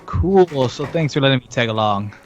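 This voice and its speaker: A man's voice: mid-range voice, lively intonation, moderate pace. Dull-sounding, joking man over music. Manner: joking, giggly. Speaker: guest co-commentator on game stream. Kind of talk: casual chat. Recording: muffled voice-chat audio, music behind. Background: game music.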